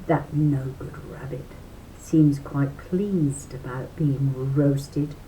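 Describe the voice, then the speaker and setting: A woman's voice: rumbling low, expressive, fast, gravelly, darkish tone, slight background noise, pitched below the performer's own voice, exaggerated voice, poor audio. Storybook part: a sly male fox, gleeful then snarling, played by an older woman reading aloud. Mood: scheming.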